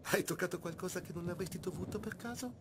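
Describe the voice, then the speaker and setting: A man's voice: quick pace, close to an everyday voice. A man playing a haughty, mocking older archaeologist rival in a fan-dubbed game cutscene, pompous and sneering. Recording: noisy, low-quality amateur dub.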